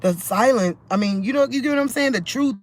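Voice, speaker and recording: A man with a fairly high voice speaks straight into his phone for a religious vlog. He rambles in a loose, conversational way, often breaking off and restarting his sentences. Phone mic. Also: fairly quick.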